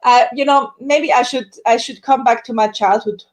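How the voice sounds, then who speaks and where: A woman, a soprano singer, telling her story in a casual interview with lively acted-out quotes. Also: normal speed. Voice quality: high dramatic coloratura soprano voice.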